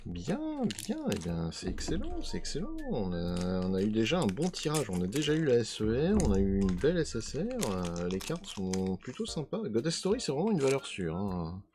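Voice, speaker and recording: A man with a hoarse voice casually commenting as he unboxes trading cards, often trailing off while he tries to recall names. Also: fairly low pitch, wildly swooping pitch, moderate pace, hardly any pauses, background noise. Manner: musing, trails off hunting for names. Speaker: card unboxing video host.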